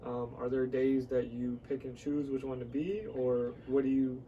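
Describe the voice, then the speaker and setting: A man's voice: mid-range voice, fairly even intonation, moderate pace, distant mic, narrow, tinny audio, slight background noise. A man hosting a casual conversational podcast interview, often echoing short words of agreement as he listens. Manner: frequent short affirming responses, repeats words.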